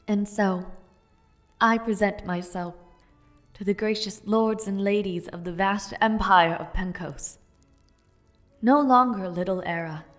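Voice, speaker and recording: A woman's voice: medium pitch, no echo, natural voice. A young woman voicing a spirited, playful noblewoman knight in an audio roleplay, chatty and teasing. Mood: solemn. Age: young adult.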